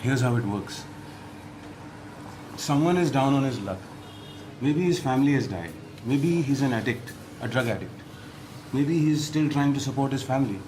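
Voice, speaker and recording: An actor playing a streetwise, grave local fixer who explains things tersely and matter-of-factly in an audio drama. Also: male voice, medium pitch, lively intonation, moderate pace, long pauses, background noise, lightly acted. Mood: grave, explaining.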